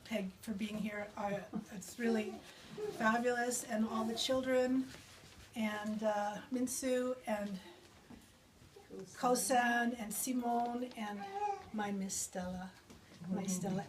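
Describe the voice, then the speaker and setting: A woman's voice: on the high side, slow pace. A woman poet speaking and reading her own work live to a small gathering of listeners. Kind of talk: live poetry reading with informal remarks to audience. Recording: distant mic with background noise.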